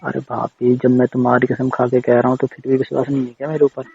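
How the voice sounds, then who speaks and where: A man's voice: medium pitch, completely flat delivery, fast, creaky, slightly rough. A man on a casual personal phone call, defensive and pleading as he repeats himself. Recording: dull, slightly muffled call recording.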